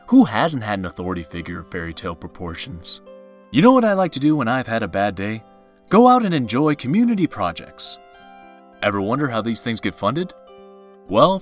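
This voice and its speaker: A man's voice: mid-range voice, fairly bright tone. A man reading a scripted sponsor message as a folksy, upbeat old-time radio announcer.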